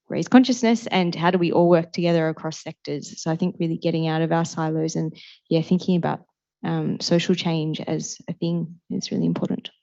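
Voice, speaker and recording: A woman's voice: fairly low pitch, darkish tone. A woman on a discussion panel, speaking earnestly with fillers like "um" and "you know". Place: seminar hall before an audience. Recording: muffled lo-fi event recording.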